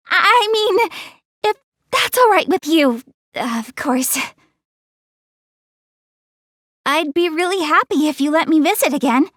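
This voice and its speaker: Game casting: young tsundere goddess girl, very high voice, lively inflection, proud yet self-conscious, dry close sound. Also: no room sound, full character voice. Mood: earnest, shy. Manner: earnest, self-conscious, hesitant backtracking. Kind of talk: English video game voice acting.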